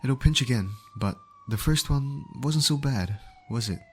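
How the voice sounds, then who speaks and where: A man playing a gentle, reassuring ambulance medic in an ASMR comfort roleplay, speaking in a soothing, coaxing, attentive way. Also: mid-range voice, measured pace, a little hiss or hum, lightly acted. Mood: concerned, soothing. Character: gentle, reassuring male ambulance medic tending an injured patient.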